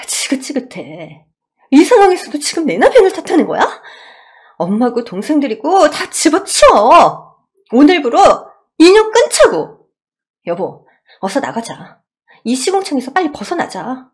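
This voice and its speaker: A woman voicing a fed-up, fiercely loyal wife in a radio story, forceful and exasperated. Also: high voice, very animated, moderate pace, pitched above the performer's own voice. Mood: furious, exasperated.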